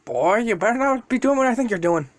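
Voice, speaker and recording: A bossy, impatient dragon mentor, acted out by a man telling a story, pushed well up in pitch. The delivery is blunt and commanding, with big swings, recorded dry and close. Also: expressive, quick pace, no room sound. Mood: scolding. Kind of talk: amateur storytelling voice.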